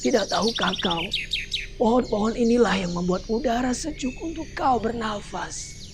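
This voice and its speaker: A woman voicing a calm, wise old grandfather who gives slow, solemn warnings. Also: fairly high pitch, noisy background, pitched above the performer's own voice, close to an everyday voice.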